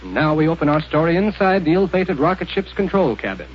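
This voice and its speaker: A man narrating a 1930s radio serial in a measured, dramatic storytelling manner. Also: a little high, sing-song, slightly rough. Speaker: announcer and narrator. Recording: dull old radio recording with hiss.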